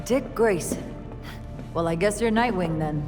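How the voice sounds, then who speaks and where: A woman's voice: on the low side, measured pace, lightly acted. An American actress playing a dry, skeptical, seasoned policewoman in naturalistic video game dialogue. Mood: wry recognition. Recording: reverberant hall, prominent music underneath.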